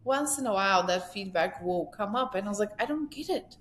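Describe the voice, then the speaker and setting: A middle-aged woman speaking as an interview guest in casual conversation, emphatic and expressive. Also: brisk, a crowd in the background. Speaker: podcast guest, communications executive. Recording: noticeable background noise with low rumble.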